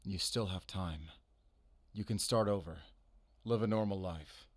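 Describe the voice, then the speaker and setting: A weary veteran monster hunter voiced by a man for a cinematic audio drama. His voice sits low and is recorded very dry. He speaks with guarded, earnest restraint, stern but gentle underneath. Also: unhurried, no room sound. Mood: calm, reassuring. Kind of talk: cinematic audio roleplay acting.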